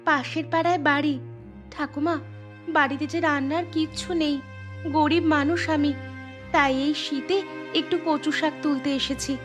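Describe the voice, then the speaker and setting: Lady playing a poor village mom in a cartoon, pleading n gentle, high pitched, music underneath. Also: fairly even intonation, moderate pace, long pauses, lightly acted. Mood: pleading, hurt. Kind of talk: cartoon story dialogue.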